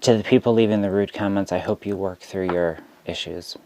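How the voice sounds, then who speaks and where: A man who reads tarot, speaking straight to camera in a frustrated rant, deliberate and halting with frequent ums. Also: low voice, fairly even intonation, dark tone. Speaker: tarot reader.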